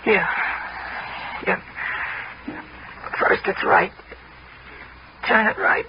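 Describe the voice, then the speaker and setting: A man's voice: sits high, steady tone, vocal fry, full character voice. An actor playing a dying convict in an old radio drama, desperate and short of breath, stammering out his pleas. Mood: gasping, failing. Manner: halting, gasping, stammering. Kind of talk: 1960s radio drama acting. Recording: muffled, noisy old radio recording.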